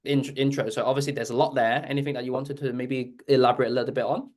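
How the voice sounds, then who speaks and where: A man's voice: mid-range voice, flat delivery, quick pace, darkish tone. Curious male podcast host. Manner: curious, lots of short listening sounds. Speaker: podcast host, teacher coach. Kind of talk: interview host.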